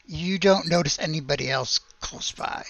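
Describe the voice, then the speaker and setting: A woman's voice: sits low, normal speed, no room sound. A woman casually narrating a tabletop game as game master, in a hesitant, matter-of-fact way. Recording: dull, lo-fi dry audio.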